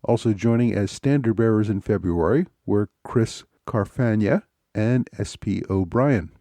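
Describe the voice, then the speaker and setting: A man hosting a history podcast, talking in a measured, unhurried way, his voice a little scratchy from a cold. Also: lowish voice, warm tone, dry recording. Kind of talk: solo podcast talk.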